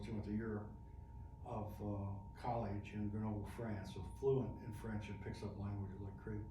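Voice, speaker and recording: A middle-aged man, a military veteran being interviewed, telling stories in a chatty way and laughing often. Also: lowish voice. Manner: chatty, laughs often, restarts mid-phrase. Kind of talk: casual storytelling interview. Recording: echoey room, distant mic, noisy.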